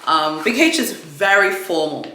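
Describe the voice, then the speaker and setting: A woman's voice: lowish voice, expressive, unhurried, sharp tone, echoey room, slight background noise, low-quality recording. A woman whose first language is Swahili, chatting casually and rambling through a luxury unboxing vlog, with frequent restarts and fillers. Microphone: ring light setup, camera mic.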